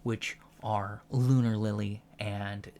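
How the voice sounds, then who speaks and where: A man playing an earnest alien college lecturer in a soft-spoken ASMR roleplay, measured and gently formal with deliberate pauses. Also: lowish voice, little pitch movement, a little hiss or hum.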